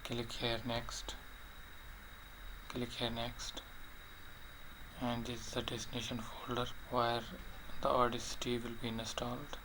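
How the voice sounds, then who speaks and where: A man narrating a step-by-step software tutorial in short, halting, plainly instructional phrases. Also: medium pitch, monotone. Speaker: tutorial presenter. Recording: clearly noisy voice-over recording.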